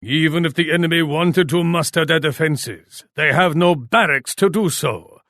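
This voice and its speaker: An actor playing a proud, imperious Japanese warlord in a video game, speaking formally. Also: male voice, fairly high pitch, moderate pace, fairly bright tone, no room sound, studio quality, lightly acted. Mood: calculating. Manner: imperious, formal, speaks of himself in third person. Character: proud, haughty Japanese warlord commanding his armies. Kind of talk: video game character voice lines.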